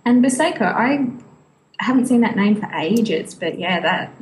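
A woman's voice: mid-range voice, expressive, unhurried. A woman co-hosting a podcast in casual fan discussion. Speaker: podcast co-host. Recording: roomy echo, faint background noise.

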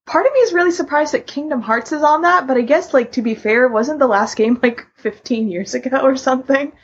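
A woman co-hosting a podcast, giving announcements and chatting casually in a husky voice. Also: high-pitched, a little fast, dull audio. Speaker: podcast host.

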